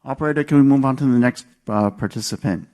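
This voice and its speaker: A man's voice: mid-range voice, fast, warm tone. A man moderating an earnings call, restating analysts' questions in an orderly, explanatory way. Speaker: conference call moderator, investor relations.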